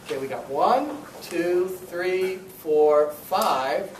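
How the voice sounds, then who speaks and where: A man speaking as club president, giving an informal welcome and announcements in a halting, rambling, self-correcting way. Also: sits high, animated. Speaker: club president hosting a meeting. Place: meeting room, recorded from a distance. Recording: roomy, distant mic, faint noise.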